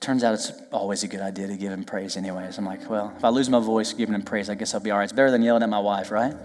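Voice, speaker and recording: A male pastor preaching a lively, joking sermon, then leading prayer and worship while losing his voice. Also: lowish voice, very fast, big-room sound, slight background noise. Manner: lively, joking, emphatic preaching with call-and-response asides. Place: church sanctuary.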